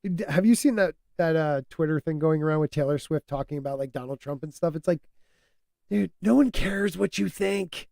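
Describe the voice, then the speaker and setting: Man, stuffed up and hoarse, fairly high voice, dry sound, animated opinionated podcast host. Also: normal speed, no echo. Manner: animated, opinionated, laughs often. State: stuffed up, losing his voice. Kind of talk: casual podcast chat.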